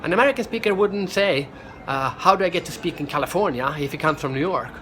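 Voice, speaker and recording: A man's voice: a little high, lively intonation, fast, a bit bright, a little hiss or hum. A middle-aged man from Sweden, a professional speaker, talking energetically in a casual vlog and often restarting and repeating words. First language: Swedish.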